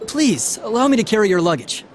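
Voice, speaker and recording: A man's voice: sits high, sing-song, brisk, a little hiss or hum. An actor playing an eager, flustered station attendant in a game, gushing and obsequious toward his betters. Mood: fawning, eager. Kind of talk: video game cutscene acting.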